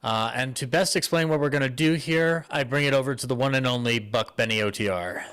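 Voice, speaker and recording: A man's voice: mid-range voice, brisk, fairly bright tone, clean tone. A man speaking casually as a panelist, hesitant and often interrupting himself. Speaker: panelist introducing a discussion.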